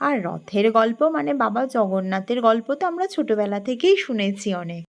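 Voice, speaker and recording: A woman's voice: on the high side, animated, a little fast, gravelly. A young woman hosting a chatty vlog, cheerfully asking her viewers questions. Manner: cheerful, addresses viewers as friends with many questions. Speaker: vlog host.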